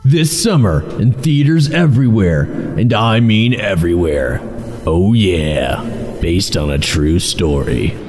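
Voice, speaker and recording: A man's voice: deep voice, animated, slow pace, a little mellow. A man playing a dramatic, portentous movie trailer announcer. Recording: long reverb, faint music.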